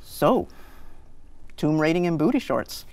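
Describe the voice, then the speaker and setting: A man hosting a scripted comedic film review in an animated, sarcastic manner. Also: fairly high pitch, a little slow. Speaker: video reviewer, show host.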